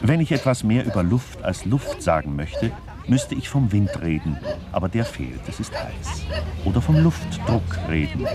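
An actor playing a wry, digressive narrator in a literary radio play, musing deliberately and addressing the listeners. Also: male voice, fairly low pitch, big pitch swings, over music, crowd noise. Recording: clearly noisy background.

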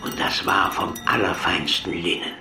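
A man's voice: deep voice, moderate pace, vocal fry, sharp tone. A man giving a scripted recitation of old fairy tales and rhymes. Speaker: reader of tales and verse. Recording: roomy, distant from the mic. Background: music.

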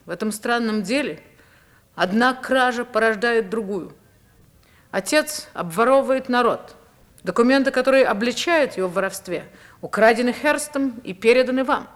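A woman acting a young upper-class wife in a radio drama. Her voice sits fairly high, with careful stage diction. She speaks with secretive resolve and strong feeling. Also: moderate pace, close to an everyday voice. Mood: resolute.

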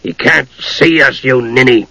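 A man voicing a gruff, irritable old dwarf with a rough voice, in a fantasy story reading. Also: medium pitch, fairly quick, sharp tone, no echo, full character voice, rough recording. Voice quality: gravelly, rough. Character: gruff, grumbling old male dwarf with a soft heart.

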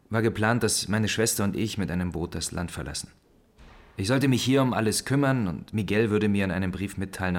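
A defiant, cynical ex-convict in a radio crime drama. His voice is very low and crackles with gravelly creak. He explains himself tersely, like someone under suspicion.

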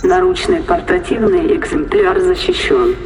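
Impersonal, high-pitched ship computer. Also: male voice, wildly swooping pitch, measured pace, slight background noise, exaggerated voice. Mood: impassive, formal. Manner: clipped, impersonal, procedural. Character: obedient formal voice of a spaceship computer. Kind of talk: science fiction audio drama acting.

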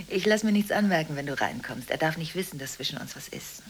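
An actress playing a volatile, emotionally contradictory married woman making frantic phone calls in a radio drama, swinging abruptly between hushed and agitated delivery. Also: low-pitched, slight background noise. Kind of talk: 1980s German radio drama monologue.

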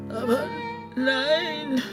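Very slow, dying old peasant woman. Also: sits high, music in the background. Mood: weary, insistent. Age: older. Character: dying old peasant woman, patient, weary, longing for death. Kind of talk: German radio play acting.

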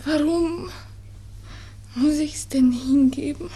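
High, slow, distraught young woman. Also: warm tone. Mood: hurt, suspicious. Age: young adult. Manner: urgent, insistent, short questions. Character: distraught young woman, insistent, lovesick daughter. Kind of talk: 1960s German-language radio drama acting.

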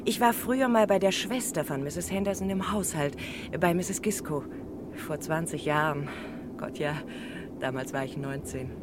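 An actress playing a chatty, gossipy middle-aged former housemaid in a 1960s radio drama. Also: female voice, moderate pace, close to an everyday voice. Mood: gossipy, confiding. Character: chatty woman of thirty-nine, former housemaid. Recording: 1960s mono radio, loud train noise. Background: rumbling train ride.

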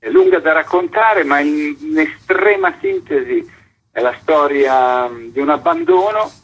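A man's voice: fairly high pitch, lively intonation, measured pace, dull audio. A middle-aged or older man from Italy explaining his work at length as an interview guest. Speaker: theatre maker, interview guest.

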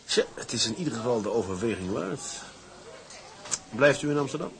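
A man playing a shrewd, defiant tugboat captain in a radio drama, with a husky voice and a wry, blunt, commanding manner. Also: medium pitch, lively intonation, a little fast, fairly bright tone, low-quality recording. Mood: guarded politeness. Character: shrewd, defiant male tugboat captain, plain-spoken schemer. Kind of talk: Dutch radio drama acting.

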